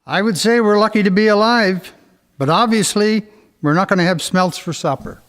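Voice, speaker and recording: A man's voice: sits high, moderate pace, fairly bright tone. A man acting in a radio drama as a plain-spoken young fisherman. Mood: relieved. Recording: reverberant, distant-sounding.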